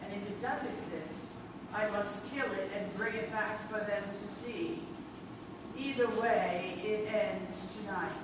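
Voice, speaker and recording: A woman's voice: mid-range voice, a little slow, natural voice. A woman playing an archivist who reads an old diary aloud dramatically. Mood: grave, haunted. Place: live stage in a theatre. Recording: very echoey noisy theatre, far off.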